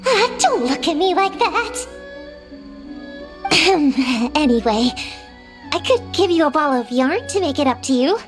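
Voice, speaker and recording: A very high, swooping voice of a little witch girl in a game. She is determined and a bit grumpy, self-assured in a childlike way, with music behind her. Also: sing-song, a little slow, long pauses. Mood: sheepish. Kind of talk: video game voice acting.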